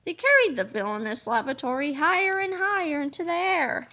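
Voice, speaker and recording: Read-aloud narrator: woman with a very high, bright voice, telling a children's story expressively. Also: expressive, brisk, lo-fi sound.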